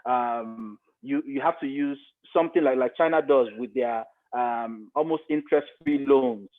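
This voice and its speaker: Middle-aged Nigerian male interviewee, mid-pitched, emphatic, repeats himself for weight, narrow telephone-style audio. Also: unhurried. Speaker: interview guest, media expert. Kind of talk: conversational interview commentary. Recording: phone-like thin radio-style sound.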